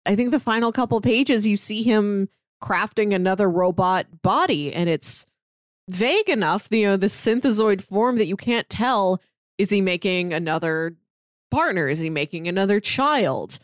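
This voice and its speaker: A woman's voice: medium pitch, lively intonation, normal speed, no room sound, clean studio sound. A woman co-hosting a podcast, chatting casually as she talks through a story. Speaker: podcast co-host. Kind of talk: casual chat reviewing a comic book.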